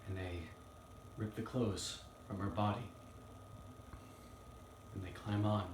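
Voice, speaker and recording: A man playing an earnest young defense lawyer in a dramatic courtroom monologue, solemn and halting with weighty pauses. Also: fairly low pitch, fairly even intonation. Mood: grave, hushed. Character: earnest young male Southern defense lawyer pleading to jury. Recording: roomy, distant mic, faint noise.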